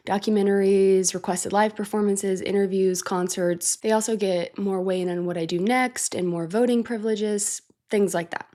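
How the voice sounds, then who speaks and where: A woman's voice: mid-range voice, mellow. Sick, nasal woman chatting to camera. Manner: chatty, rambling, thinks aloud with small laughs and fillers. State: sick and congested. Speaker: music reaction channel host. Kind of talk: casual reaction chat to camera.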